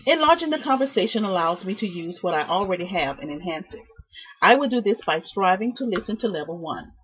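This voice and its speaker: A woman giving a prepared student presentation, reading from notes with deliberate, emphatic phrasing and halting repeats. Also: medium pitch, lively intonation, slightly rough. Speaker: student presenting a class project. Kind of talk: prepared speech, read from notes. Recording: dull, muffled lo-fi sound.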